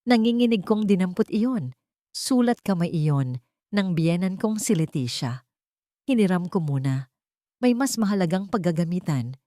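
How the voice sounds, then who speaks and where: A woman with a Filipino accent narrates a first-person family drama, reading in an emotional, measured way with dramatic pauses. Her voice sits fairly low. The recording is dry and close, with no room sound. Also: dry recording. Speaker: audiobook narrator.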